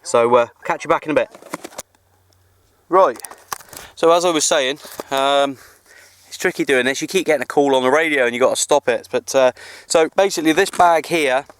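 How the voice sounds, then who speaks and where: Man, fairly high voice, casual and matey radio hobbyist, recorded outside with some background noise. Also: moderate pace. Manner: matey, informal British slang. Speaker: amateur radio operator and vlogger. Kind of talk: casual radio chat and outdoor vlog. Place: outdoors on a hill. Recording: clear outdoor background noise.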